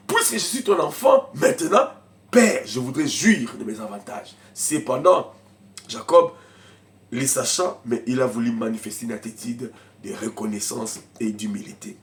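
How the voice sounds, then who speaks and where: Male pastor, head cold, fervent sermon with loud shouted peaks and hushed mutters. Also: on the high side. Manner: swings from shouted preaching to quiet murmuring asides; speaks in tongues in bursts. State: has a cold, coughing.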